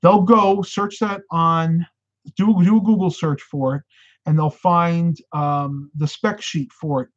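A man in his thirties, hosting a casual live stream from a room at home, talking animatedly and getting a bit hoarse. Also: sits high. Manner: animated, gestures with hands while talking. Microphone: webcam at desk. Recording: slightly dull lo-fi webcam sound.

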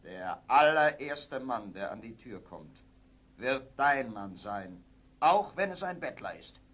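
A man voicing a stern, husky old king. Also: mid-range voice, big pitch swings, fairly bright tone, full character voice. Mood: angry decree. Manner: commanding, emphatic, slow. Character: angry old king, stern commanding father.

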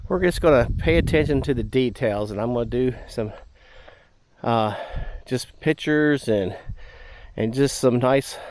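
A man narrating a camping vlog in a relaxed, rambling way with many fillers, outdoors at a campground. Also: medium pitch, a little slow. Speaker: camping vlogger.

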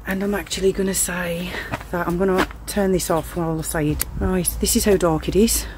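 A woman's voice: lowish voice, steady tone, a little fast. A middle-aged woman talking casually as a ghost-hunting vlogger, filming herself outdoors on a handheld camera. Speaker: paranormal investigator vlogger. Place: dark outdoor stone-walled alley at night. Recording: noisy outdoor handheld recording.

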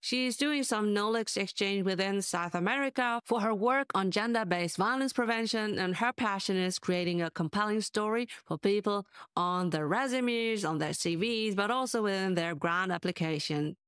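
A woman's voice: medium pitch, normal speed, hardly any pauses, fairly bright tone, clean tone, no echo, clean studio sound. A woman hosting a podcast, reading her intro and welcoming a guest with enthusiasm. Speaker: podcast host. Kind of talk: scripted intro and interview.

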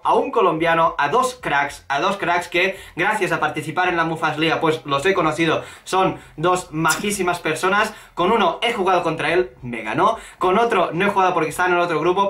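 An animated, chatty man with an accent from Spain, introducing a football vlog as its host. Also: a little high. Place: small room at home, indoors. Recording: echoey room.

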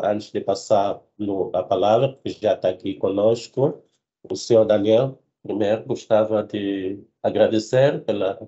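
A man's voice: lowish voice, dark tone, highs cut off. A man hosting an online debate session, introducing the guest in a formal manner with frequent self-corrections. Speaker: host, moderator.

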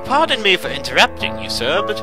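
Man playing a pompous, wildly animated gentleman explorer. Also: high voice, fairly quick, over music. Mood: chummy, polite. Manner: genteel, old-fashioned, blustering politeness. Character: jaunty, pompous gentleman explorer, self-important and chummy. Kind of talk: comedic radio drama acting.

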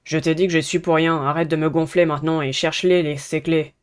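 A woman's voice: low-pitched, steady tone, a little fast, clean tone, pitched below the performer's own voice, close to an everyday voice. A woman voicing a surly, dismissive teenage schoolboy in a visual novel, curt and irritated. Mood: annoyed.

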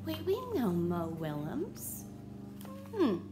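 A woman in her thirties reading a picture book aloud to children, with deliberate theatrical emphasis and questions to her listeners. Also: medium pitch, wildly swooping pitch, over music. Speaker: read-aloud storyteller. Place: small room at home.

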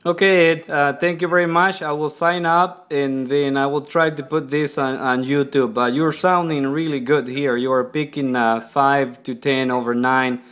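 Halting man on amateur radio. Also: mid-range voice, fairly even intonation, a bit bright. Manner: hesitant, repetitive phrasing, speaking up toward laptop mic. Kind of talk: casual ham radio exchange. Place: indoors in a kitchen. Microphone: laptop microphone nearby.

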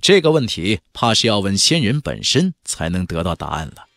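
A man's voice: sits low, sing-song, normal speed, gravelly, a bit bright, dry recording. A man narrating a fantasy novel as a dramatic, scripted audiobook.

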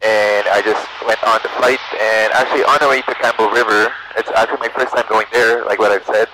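A man's voice: on the low side, steady tone, brisk, background noise. A young man, a student pilot, narrating his flight casually and making radio calls over an aviation headset. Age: young adult. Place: small propeller plane cockpit in flight. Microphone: aviation headset boom mic. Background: music.

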